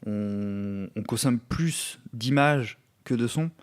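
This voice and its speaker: A man's voice: mid-range voice, slow pace, smooth. A man speaking as a podcast guest in a thoughtful, casual way, tentatively searching for his words.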